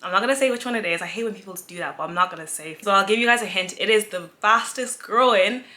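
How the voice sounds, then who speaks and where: A woman's voice: medium pitch, fast, pushed and bright. A woman in her twenties from Nigeria, chatting casually and giggling as she answers viewer questions in a sit-down vlog. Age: 20s. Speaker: influencer, vlogger.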